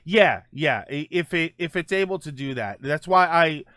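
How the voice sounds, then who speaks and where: A man with a slightly higher-set voice hosts a casual gaming stream. He gives his opinions in reply to viewers, stopping and rephrasing as he works through a point. The sound is dry, with little room in it. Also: animated, a bit bright, clean tone, no echo. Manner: halting, circles back and rephrases points. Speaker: host of a gaming livestream. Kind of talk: casual opinion talk answering viewer comments.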